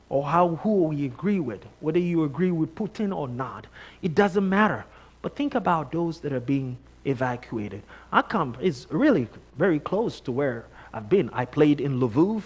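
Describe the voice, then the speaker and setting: High-pitched Nigerian man preaching. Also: slightly rough. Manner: animated storytelling, emphatic repetition. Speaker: guest preacher. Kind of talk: conversational sermon with personal anecdotes. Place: church sanctuary, on stage before a congregation.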